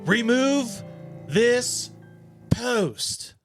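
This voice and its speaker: A man's voice: extremely high pitch, lively intonation, extremely slow, music in the background, voice pushed up, heavily acted. Man acting out an indignant, threatening guy. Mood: demanding, threatening. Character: angry, threatening man in a dramatic reading of messages.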